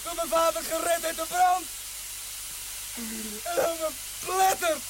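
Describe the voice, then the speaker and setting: An actor in a television drama playing a bitter, desperate young farmer's son down a mine, agitated and emphatic. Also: male voice, very high-pitched, little pitch movement, takes it slowly. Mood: bitter despair. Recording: hissy, noisy old TV soundtrack.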